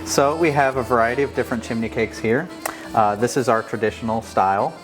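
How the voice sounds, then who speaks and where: A man with an American accent giving an informal, methodical walk-through of products as a food maker. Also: lowish voice. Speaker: bakery worker demonstrating products. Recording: clear background ambience noise.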